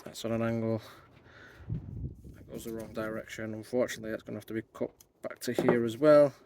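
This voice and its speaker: Croaky-voiced man working on bonsai. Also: lowish voice, level tone, a little fast, very noisy. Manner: thinking aloud as he works. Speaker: bonsai channel host. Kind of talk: casual hands-on tutorial talk.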